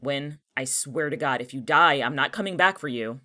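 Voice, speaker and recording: A man's voice: mid-range voice, normal speed, bright, forceful tone. A young man acting in an audio drama as a cynical, anxious, jobless atheist, with a sardonic, argumentative way of talking. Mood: alarmed. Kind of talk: naturalistic audio fiction dialogue.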